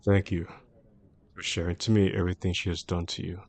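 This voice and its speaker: A deep-voiced male boss, acted by a man speaks directly to the listener in an audio drama. He is composed and formal, choosing words with measured care. His reassurance carries a quietly obsessive devotion. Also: level tone, gravelly. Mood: gentle, reassuring. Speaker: voice actor in a roleplay audio drama. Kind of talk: intimate scripted roleplay audio addressed to the listener.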